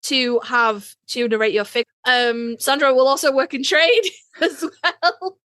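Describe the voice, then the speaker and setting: Sweary, slangy woman podcaster, higher voice. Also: measured pace, no room sound. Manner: sweary, slangy, animated storytelling with quoted exchanges. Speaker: podcast co-host. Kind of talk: casual podcast chat.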